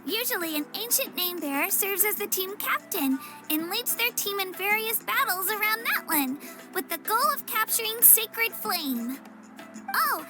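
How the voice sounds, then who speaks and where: A woman voicing an earnest, modest young warrior girl in a video game, youthful and a little hesitant. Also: extremely high pitch. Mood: friendly, explanatory. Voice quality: youthful, girlish. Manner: earnest, self-effacing, hesitant. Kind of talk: video game character voice acting.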